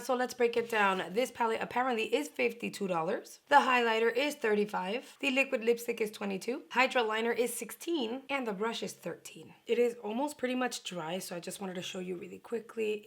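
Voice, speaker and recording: Casual beauty vlogger, woman, hoarse and raspy, whispery asides, filmed on a camera mic at home. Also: medium pitch. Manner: chatty, animated, drops into whispery asides. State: raspy voice from a sore throat. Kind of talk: casual unboxing and makeup chat.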